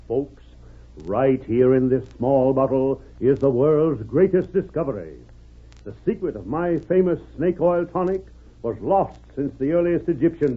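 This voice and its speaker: An actor playing a sly, folksy medicine-show huckster with bombastic, drawling patter in an old radio western. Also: male voice, fairly high pitch, slightly rough, heavily acted. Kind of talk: 1940s radio western acting. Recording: old tinny narrow-band radio recording.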